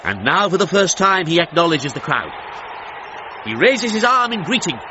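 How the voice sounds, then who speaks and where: A man's voice: high voice, big pitch swings, normal speed, noisy background. Grand, swooping 1930s radio commentator man. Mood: stately, awed. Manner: stately, dramatic, reverent. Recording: dull band-limited sound with music behind.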